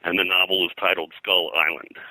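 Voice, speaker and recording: A man talking informally as an interview guest and historian, rambling and haltingly, often repeating words. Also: low-pitched, flat delivery, pushed and bright, no echo, low-quality recording. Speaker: guest, pop culture historian. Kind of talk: informal interview talk about pulp fiction history.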